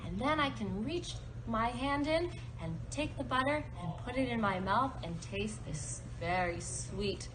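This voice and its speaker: A woman's voice: on the high side, lively intonation, unhurried, few pauses. A woman voicing a small, mischievous boy in a live puppet-show story, eager and childlike. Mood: eager, wondering. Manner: eager, childlike pleading and wondering. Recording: noisy live recording.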